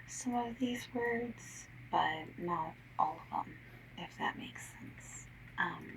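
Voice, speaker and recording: A woman playing a shy, nervous phone survey caller in an ASMR roleplay, speaking softly and often near a whisper, hesitant and apologetic. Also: on the low side, recorded from a distance, slight background noise, heavily acted, poor audio. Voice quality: soft, breathy, often slipping into whisper. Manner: hesitant, apologetic, rambling, gentle.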